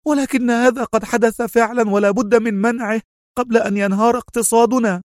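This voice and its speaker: Man voicing an agitated young woman, pitched way up. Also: fairly even intonation, brisk, no echo, clean studio sound. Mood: sharp, nervous. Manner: agitated, sharp, insistent. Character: young woman agent, fiery, urgent, impatient.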